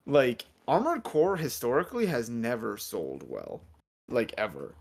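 A man's voice: mid-range voice, huge pitch swings, measured pace, creaky. Male co-host, casual gaming podcast; jokey, profane, rambling delivery. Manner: joking, swears freely, rambling with fillers.

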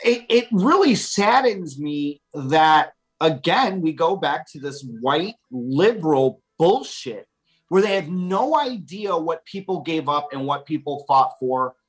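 A woman's voice: sits low, animated, takes it slowly, poor audio. An American woman in a casual opinion chat, talking emphatically and bluntly and swearing freely. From United States.

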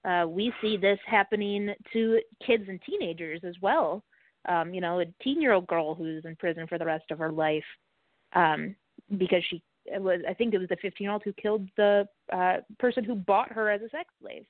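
A woman speaking as an interview guest, giving conversational commentary in an earnest, halting way. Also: medium pitch, dry recording. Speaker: podcast co-host as interview guest. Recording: dry, narrow phone-line sound.

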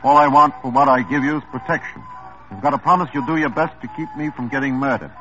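An evasive mob lawyer who talks in a wary, measured drawl, played by a man. The vintage broadcast is dull and lo-fi, with music clearly audible behind him. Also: mid-range voice, bright, forceful tone, natural voice. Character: smooth, nervous male mob lawyer. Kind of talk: 1950s radio drama acting.

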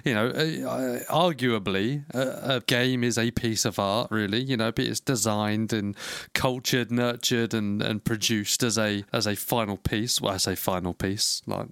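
A man co-hosting a casual gaming podcast, joking and swearing through quick banter with his friend. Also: fairly low pitch, steady tone, creaky, a bit bright, dry recording, studio quality. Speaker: podcast co-host.

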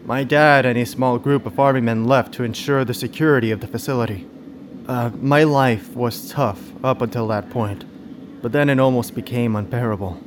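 A man's voice: mid-range voice, steady tone, moderate pace, background noise, natural voice. An actor in an audio drama playing a troubled, guarded young man of nineteen who speaks hesitantly. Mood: somber. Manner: hesitant, subdued. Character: troubled, guarded nineteen-year-old man, fatherless after war.

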